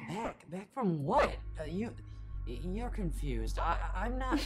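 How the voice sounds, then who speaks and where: Performer acting a bewildered desert wanderer, stammering, earnest, hesitant, radio drama, clear music underneath. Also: medium pitch, sing-song, slow pace, voice pushed up. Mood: confused. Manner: dramatic storytelling, hesitant when flustered. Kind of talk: first-person radio play narration and acting.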